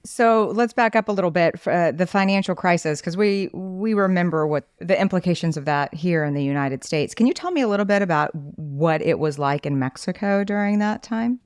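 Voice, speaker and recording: Low-voiced woman hosting a podcast. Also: animated, brisk, hardly any pauses, a little mellow, clear, resonant tone, dry recording, studio quality. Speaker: podcast host. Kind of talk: interview hosting.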